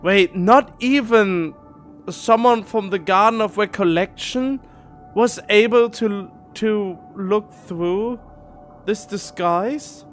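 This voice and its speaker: Male streamer, high voice, casual and questioning, thinking aloud over faint game music. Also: takes it slowly. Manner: puzzled, thinking out loud, questioning. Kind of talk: casual live playthrough commentary, reading dialogue aloud.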